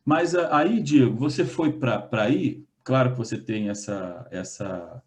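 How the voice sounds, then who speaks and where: A man's voice: mid-range voice, animated, a little slow, a little mellow. A man with a Brazilian accent hosting a casual interview about language over a video call, chatty and hesitant, trailing off and restarting often. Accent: Brazilian Portuguese. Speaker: host, linguist.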